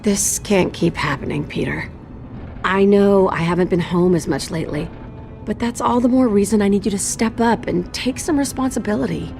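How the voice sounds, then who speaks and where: A woman playing a loving, firm and worried mother in an animated game, speaking gently but firmly. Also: medium pitch, moderate pace, gravelly, faint music behind, close to an everyday voice. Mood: stern, exasperated. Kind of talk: animated game drama acting.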